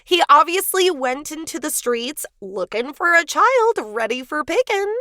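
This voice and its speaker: A high-pitched woman with a slightly raspy voice tells a legend on a podcast, playful and quick to laugh. The sound is close and dry, with no room around it. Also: very animated, sharp tone, no room sound. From Cuban family background. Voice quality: raspy morning voice. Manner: playful, laughs often, acts out quotes. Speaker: podcast co-host. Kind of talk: casual storytelling chat.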